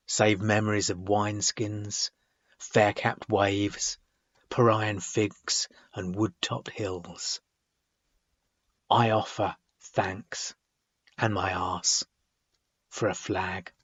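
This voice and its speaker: A man reading his own poetry dramatically, in measured, deliberate phrases broken by frequent pauses. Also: lowish voice, husky, no echo, muffled recording. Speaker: poet reading own work.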